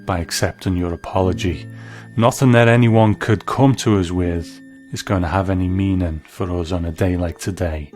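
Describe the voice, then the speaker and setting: A male host talks through a reflective review in a low-pitched voice. Soft music plays faintly behind his commentary.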